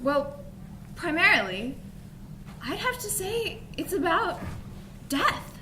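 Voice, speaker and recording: A woman's voice: very high-pitched, sing-song, slow, heavily acted. A woman acting an earnest, pompous theatregoer in an amateur stage comedy. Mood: earnest, pretentious. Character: earnest, pretentious theatregoer gushing self-contradictory praise. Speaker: student actor in a school play. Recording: echoey stage recording with faint noise.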